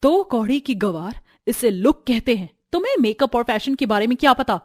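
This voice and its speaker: A woman voicing a haughty, sharp-tongued young city daughter-in-law in a family story, snapping scornfully and mixing in English words. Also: fairly high pitch, expressive, normal speed, no echo, studio quality. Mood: scornful, bossy. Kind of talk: Hindi family storybook voice acting.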